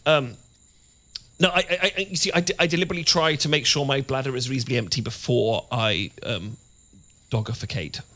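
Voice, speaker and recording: A British man playing an adorkable, nervous and eager boyfriend with a secret monster side, stammering and halting in a romantic audio roleplay. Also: medium pitch, pushed and bright, lightly acted. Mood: bashful, hopeful. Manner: flustered, heavy stammering and halting restarts. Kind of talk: romantic audio roleplay acting, addressed to listener.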